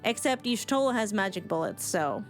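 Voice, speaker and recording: A woman's voice: medium pitch, animated, moderate pace. A woman streamer giving casual live reaction commentary on a game, chatty and musing aloud. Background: game music.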